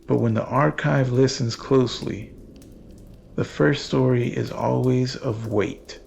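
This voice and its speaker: A man delivering scripted horror narration as the storyteller, grave, deliberate and ominous. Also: medium pitch, fairly even intonation, creaky, darkish tone, slight background noise. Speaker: narrator and archivist host.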